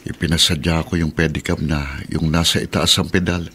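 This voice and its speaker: A humble, determined father who speaks gratefully to his mother. His voice is low, in radio drama style, over light noise. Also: steady tone, moderate pace, pushed and bright, exaggerated voice. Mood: hopeful.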